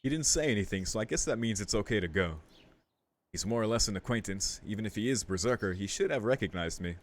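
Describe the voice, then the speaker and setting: Casting: male gaming host, lowish voice, casual and jokey, slang-heavy, reads dialogue boxes aloud. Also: expressive, fast. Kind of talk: casual playthrough commentary, reading game text aloud. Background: game music.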